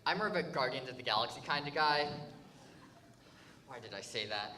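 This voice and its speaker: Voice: medium pitch, lively intonation, brisk, lightly acted. A teenage actor playing a shy, awkward male mathlete who talks hesitantly in a staged reading. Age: teens. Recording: distant, with faint background noise.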